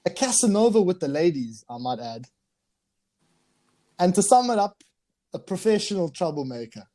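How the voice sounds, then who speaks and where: A man's voice: high-pitched, big pitch swings, moderate pace, dark tone. A young man hosting a podcast, playful and laughing often, with casual hesitant phrasing. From South Africa. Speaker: podcast host.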